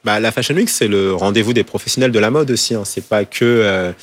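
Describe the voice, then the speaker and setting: Low-voiced man, hesitant, many fillers. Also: brisk. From France. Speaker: fashion designer and school founder. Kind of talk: radio interview.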